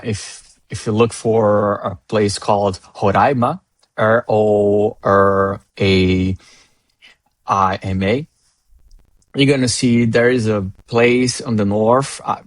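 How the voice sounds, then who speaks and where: A man's voice: fairly low pitch, no echo. A man from northern Brazil with a Brazilian Portuguese accent, a native Portuguese speaker talking as an interview guest in a rambling way, with many restarts and fillers. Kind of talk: casual interview chat.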